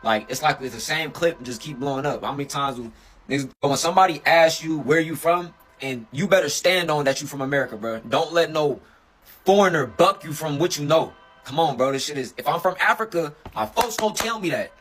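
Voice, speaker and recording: A man's voice: on the high side, a little fast, slightly rough. A man in a heated, casual rant who keeps saying "bro". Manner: forceful, repeats "bro". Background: loud guitar music.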